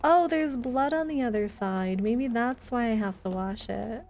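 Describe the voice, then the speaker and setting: A woman's voice: a little high, measured pace, darkish tone, faint music behind. A woman chatting casually with her viewers while livestreaming a video game, in a chatty, giggly manner.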